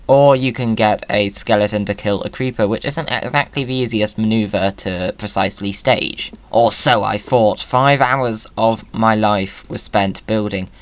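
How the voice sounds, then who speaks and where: A man's voice: on the low side, normal speed, few pauses. A British man hosting a gaming video, chatting to viewers in a playful, whimsical way with exclamations.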